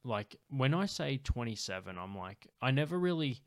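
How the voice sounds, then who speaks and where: A man's voice: mid-range voice, sing-song, normal speed, dry recording, clean studio sound. A man in his twenties hosting a podcast, chatting casually, rambling with lots of fillers and swearing freely. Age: 20s. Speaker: podcast host. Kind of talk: casual chat.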